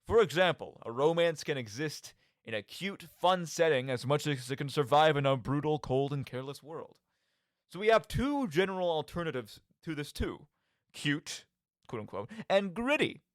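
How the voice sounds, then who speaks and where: A man with a slightly high voice and very animated, swooping intonation presents a games commentary show. He is theatrical and talks straight to the listener, throwing out rhetorical questions. The sound is close and dry. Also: normal speed, dry recording. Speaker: podcast host. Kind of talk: radio monologue, commentary on video games.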